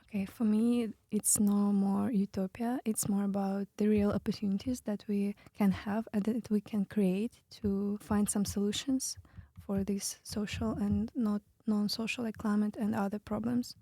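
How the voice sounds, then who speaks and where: Young woman, Russian accent, flat intonation, mid pitch, dry close recording, student podcast guest. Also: normal speed, no echo. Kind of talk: casual round-table discussion.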